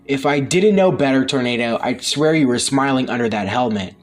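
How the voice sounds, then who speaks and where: A man playing a calm, courteous comic book superhero leader who speaks in a measured way. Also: medium pitch, lightly acted. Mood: pleased.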